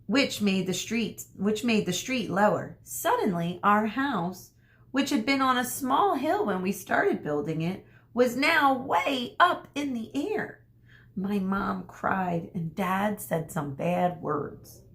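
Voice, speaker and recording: A woman speaking as an elementary school teacher, reading a story aloud to children and pausing to explain things. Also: medium pitch, animated, fairly bright tone. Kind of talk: read-aloud lesson for children.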